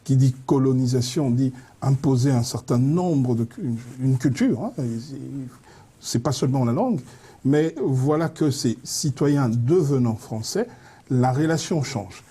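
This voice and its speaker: Dark, husky-voiced man debating slowly. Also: medium pitch. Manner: measured, long winding sentences with hesitations. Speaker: studio guest, consultant. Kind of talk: studio debate.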